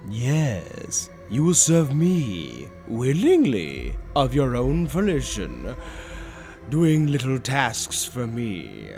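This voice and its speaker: A man voicing a flamboyant, sinister demon tempter in a roleplay monologue, taunting the listener with theatrical, drawn-out emphasis. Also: medium pitch, wildly swooping pitch, a little mellow, full character voice. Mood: grandly tempting. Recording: background music under the voice. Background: orchestral waltz-style music.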